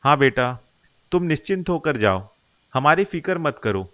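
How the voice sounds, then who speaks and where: A man's voice: fairly high pitch, big pitch swings, normal speed, fairly bright tone, no echo, muffled recording. A man playing a supportive village father who reassures a grown son in a cartoon story. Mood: reassuring. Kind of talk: Hindi cartoon moral-story voice acting.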